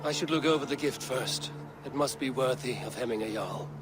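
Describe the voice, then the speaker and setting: An actor playing a calm, dutiful Viking warrior in a video game cutscene, with outdoor noise behind. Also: male voice, mid-range voice, moderate pace, over music, lightly acted. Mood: solemn, steady.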